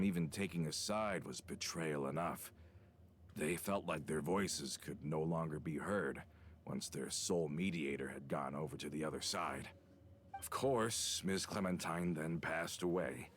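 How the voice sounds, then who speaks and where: A very deep, gruff male voice for a video game. He is a loyal older veteran who explains past troubles in earnest. Faint noise sits behind him. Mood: earnest, wistful.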